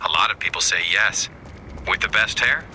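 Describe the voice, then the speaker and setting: An actor playing a vain, flippant scientist in a video game, with a wry, theatrical, self-satisfied delivery. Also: male voice, on the low side, moderate pace, sharp tone, a little hiss or hum, rough recording. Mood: cocky, playful. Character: vain, flippant male scientist and resistance leader, playful and sarcastic. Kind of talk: scripted video game character dialogue.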